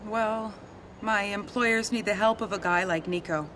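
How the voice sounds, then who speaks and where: A composed young American woman acted for a video game, an undercover agent with a coolly apologetic manner. Her pitch is average for a woman, and faint noise sits behind her voice. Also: measured pace, fairly bright tone, close to an everyday voice. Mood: firm, regretful. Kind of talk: video game cutscene acting.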